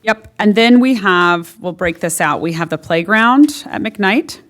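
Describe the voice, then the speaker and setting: A woman's voice: mid-range voice, expressive, unhurried, fairly bright tone. Chatty woman explaining plans at a meeting. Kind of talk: public meeting discussion. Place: city council chamber.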